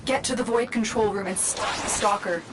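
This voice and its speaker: A woman's voice: mid-range voice, fairly quick, noisy background, natural voice. A woman playing a composed, protective commander who gives earnest, urgent mission guidance in a video game. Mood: earnest urgency. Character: calm, guiding female commander, protective and urgent. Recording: loud music behind the voice. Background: loud game music.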